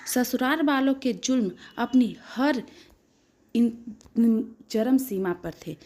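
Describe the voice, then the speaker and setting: A woman narrating a dramatic moral story in an expressive, emotive way, now and then stumbling over her words. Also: mid-range voice, a little hiss or hum.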